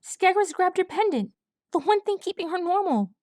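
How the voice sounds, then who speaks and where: A woman's voice: extremely high pitch, expressive, moderate pace, a little mellow, dry recording, voice pushed up. A woman voicing a frantic young male colt who pleads urgently, breathless, his voice cracking. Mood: desperate.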